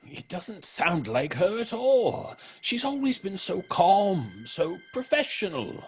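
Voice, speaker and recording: A man acting as a worried, deferential theatre player in a horror audio drama, raspy, cautious and earnest. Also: high-pitched, big pitch swings, takes it slowly, slight background noise, heavily acted. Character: worried, deferential male stage actor. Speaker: actor.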